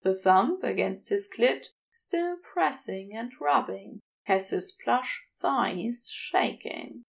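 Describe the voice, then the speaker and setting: A woman reading erotic fiction aloud as a scripted, measured narration. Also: medium pitch, animated, creaky, slightly rough. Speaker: fan fiction audiobook reader.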